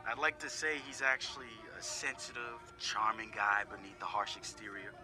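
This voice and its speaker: A man's voice: on the low side, little pitch movement, moderate pace, sharp tone. An actor playing a laid-back young male hacker who sizes up his teammates in a game audio log, talking casually with profane, wry asides. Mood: candid. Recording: clear music behind the voice.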